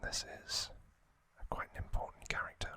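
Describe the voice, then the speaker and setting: A man hosting an ASMR series, narrating trivia in a breathy, unvoiced whisper with deliberate short phrases and pauses. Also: pushed and bright, no echo.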